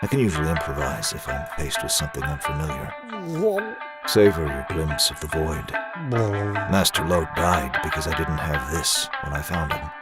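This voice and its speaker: A man playing a grim, relentless vigilante hero in a motion comic, speaking with controlled intimidation over loud dramatic music. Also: rumbling low, moderate pace. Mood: grim defiance. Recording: loud dramatic score and storm behind voice.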